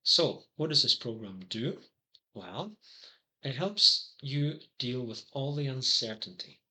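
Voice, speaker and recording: A middle-aged man with a Scottish accent and a very bright, ringing voice, pitched in the middle range. He lays out his services in a scripted pitch, slipping dry jokes between earnest points. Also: lively intonation, slow, poor audio. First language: English. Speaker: psychology consultant. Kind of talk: scripted promotional monologue.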